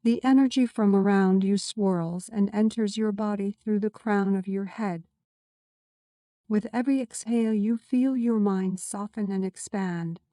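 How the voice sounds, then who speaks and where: A woman narrating a scripted guided meditation in a soothing, measured, instructive way. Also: mid-range voice, mellow, dry recording, clean studio sound.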